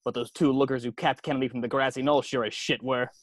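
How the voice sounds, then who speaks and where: Guy doing a cocky secretive ex-model spilling conspiracies, talks fast, dry close sound, comedy table read. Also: mid-range voice, steady tone, dry recording, lightly acted. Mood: cocky, knowing. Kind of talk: amateur table read of a comedy film script. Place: indoors at home on a video call. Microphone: video call.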